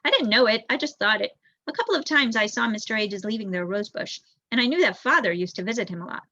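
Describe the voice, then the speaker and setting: A woman's voice: a little high, expressive, fast talker, pushed and bright, lo-fi sound. A woman voicing a thoughtful, observant little boy mouse in a storybook, reasoning plainly.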